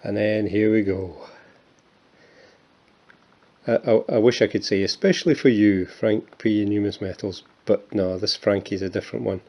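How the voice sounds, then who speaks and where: A man's voice: on the low side, fairly even intonation, moderate pace, mellow. A Scottish man in his fifties, chatting casually and with self-mocking humour while showing his coin collection, with plenty of fillers and restarts. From Scotland. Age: 50s. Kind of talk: casual show-and-tell vlog.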